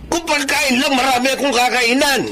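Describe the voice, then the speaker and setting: A man's voice: very high-pitched, fairly even intonation, brisk, pushed and bright, slight background noise. An actor playing an irresponsible, reproachful middle-aged father in a Tagalog radio drama, scolding and defensive. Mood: defensive, angry.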